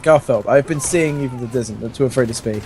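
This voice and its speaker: A man's voice: mid-range voice, fast talker, darkish tone, slight background noise, close to an everyday voice. A man voicing a flirty, lovesick doctor in a fan fiction reading, with gushing, broken phrasing.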